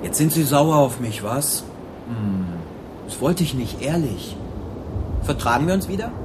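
A man's voice: medium pitch, expressive, measured pace, noisy background, natural voice. An actor playing a pushy, falsely friendly young man in a naturalistic radio drama, cheeky and provoking. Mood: coaxing.

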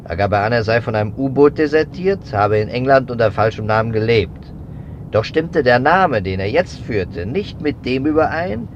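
An actor playing a methodical, suspicious investigator in a 1950s German radio drama, speaking deliberately in emphatic, clipped phrases. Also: male voice, medium pitch, close to an everyday voice. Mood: recounting. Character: persistent adult male investigator, methodical and suspicious. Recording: dull, noisy 1950s radio recording.

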